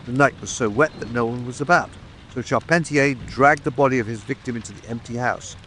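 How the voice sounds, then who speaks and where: Casting: man to play self-satisfied formal policeman, audiobook drama, middle register over soft rainfall. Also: mid-range voice, fairly quick, slight background noise, natural voice. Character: self-satisfied, officious male police detective. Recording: faint rain ambience behind.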